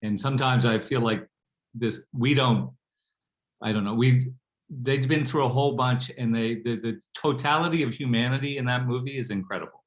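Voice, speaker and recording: An older man speaking reflectively as a panel guest, thoughtful and halting as he finds his words. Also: mid-range voice, rough recording.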